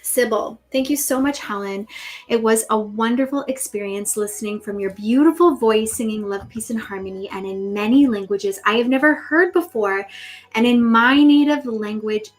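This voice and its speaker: Host: woman, highish voice, effusive and gushing, reads comments from the chat. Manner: reads viewer comments aloud. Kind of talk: livestream talk show chat.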